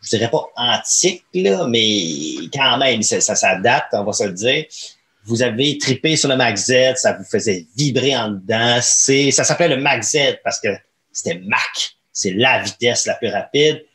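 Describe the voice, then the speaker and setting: A man's voice: medium pitch, expressive, measured pace, sharp tone. A man in his thirties with a Quebec French accent, hosting a casual podcast in a colloquial, enthusiastic way on a close microphone. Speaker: podcast host. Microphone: close studio microphone on arm, headphones.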